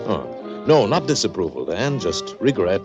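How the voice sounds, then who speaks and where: A man acting a smooth, wealthy fifty-year-old in a 1940s radio drama, speaking with genteel politeness. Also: deep voice, sing-song, moderate pace, over music. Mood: courteous. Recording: muffled 1940s radio recording.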